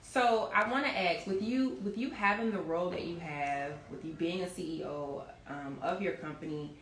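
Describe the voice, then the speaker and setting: Woman host, lowish voice, echoey room. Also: moderate pace, a bit bright. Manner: affirming, often interjects "okay". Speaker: host, interviewer. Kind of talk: casual live chat interview. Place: remote live video chat in a room. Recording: roomy echo, faint noise.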